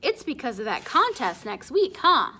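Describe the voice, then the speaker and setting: A woman's voice: sits high, sing-song, normal speed, pushed and bright, husky, exaggerated voice. A woman voicing a sly, breezily cheerful young girl with a sing-songy, needling way of talking in a storybook. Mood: sly, curious.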